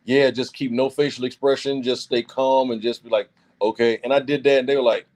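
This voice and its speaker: A man in his forties shares relaxed stories on a live-stream chat. He is easygoing and often laughs at his own tales. His voice sits in a middling pitch. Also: fairly quick. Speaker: guest. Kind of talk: casual storytelling chat.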